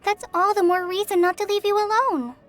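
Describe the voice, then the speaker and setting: A woman's voice: extremely high pitch, steady tone, fairly quick, a bit bright. A woman voicing an earnest, caring young girl who is a trainee forest ranger in an anime video game. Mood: concerned. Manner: earnest, reassuring.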